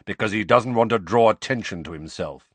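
A man's voice: on the low side, brisk, fairly bright tone, breathy edge, no room sound. A man voicing a defiant, protective grown man in an audiobook, speaking firmly and bluntly. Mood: explaining. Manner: firm, blunt, informal.

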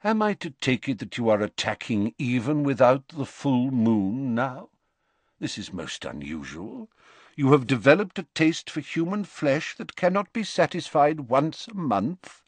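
Man doing a calm, courteous old wizard. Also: medium pitch, no echo, pitched above the performer's own voice. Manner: quiet, measured. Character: elderly male wizard headmaster, calm, courteous.

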